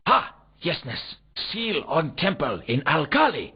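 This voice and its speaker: A man's voice: fairly high pitch, wildly swooping pitch, a little slow, slightly rough, exaggerated voice. A man voicing an eager foreign archaeology worker in a comic video game, speaking in stilted, garbled word order with pompous long words. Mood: earnest, eager. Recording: dull, lo-fi game audio.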